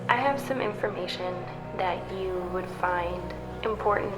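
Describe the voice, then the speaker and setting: A woman's voice: medium pitch, normal speed, lightly acted. A woman acting as a secretive, determined young scientist in a sci-fi audio drama, terse and guarded. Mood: guarded, matter-of-fact. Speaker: supporting character. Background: background music.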